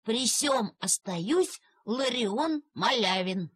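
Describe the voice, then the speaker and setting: A woman voicing a young village boy who slowly, haltingly writes out a letter in an audio play. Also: a little high, expressive, fairly bright tone, slightly rough, dry recording, heavily acted. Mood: earnest, laborious. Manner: halting, syllable-by-syllable dictation.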